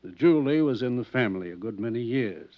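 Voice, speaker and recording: A man's voice: medium pitch, animated, quick pace, close to an everyday voice. A man playing a suave rich mansion owner. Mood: polite. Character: wealthy polished mansion owner, male, middle-aged. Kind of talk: 1950s radio drama acting. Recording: dull old radio transcription.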